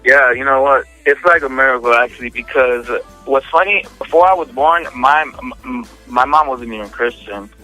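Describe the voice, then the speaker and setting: A man telling his personal testimony in a casual, slangy interview, halting and repeating words as he goes. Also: mid-range voice, sharp tone, poor audio. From United States, born in New York, raised in Miami. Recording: phone interview with music behind.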